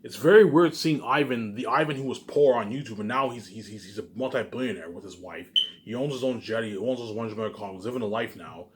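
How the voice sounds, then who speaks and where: A man's voice: on the low side, fairly quick, noisy background. A man in a casual, rambling monologue, boastful and repetitive, emphatic and swearing freely as he leans on the same catchphrases. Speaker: online video creator.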